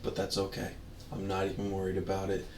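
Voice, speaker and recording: A man with a hoarse, worn-out voice giving rambling, easygoing commentary as a game streamer. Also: low voice, completely flat delivery, fast, background noise. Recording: roomy, distant mic under loud music. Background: loud music.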